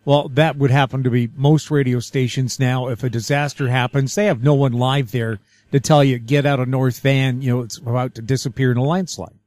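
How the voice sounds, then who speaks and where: A man with a mid-pitched voice hosts a radio interview. He puts his questions conversationally and with opinions of his own. The sound is very dry and close. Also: fairly even intonation, brisk, darkish tone, no echo.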